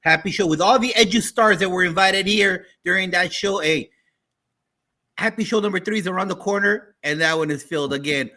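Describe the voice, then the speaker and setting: A man co-hosting a talk show in an upbeat, energetic, punchy and exclamatory way. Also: high-pitched, brisk.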